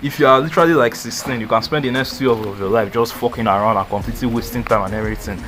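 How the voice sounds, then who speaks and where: Fast-talking young man rambling advice. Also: medium pitch, music in the background. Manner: rambling, slangy, lots of "like" and "you know". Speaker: vlogger. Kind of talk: casual advice monologue.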